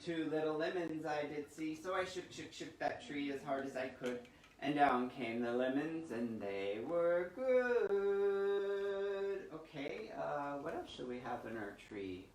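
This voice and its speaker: Guy doing kids storytime, sing-song and expressive, roomy echo, bit of background noise. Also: on the high side, a little slow. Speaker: children's library storytime host. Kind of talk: reading picture books and leading finger rhymes.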